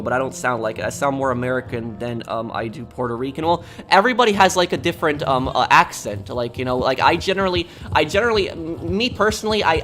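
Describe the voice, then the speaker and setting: Male streamer from New York, mid-pitched, chatty and rambling; reads viewer chat live. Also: a bit bright, a little hiss or hum. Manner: rambling and talkative, with many restarts. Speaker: game streamer.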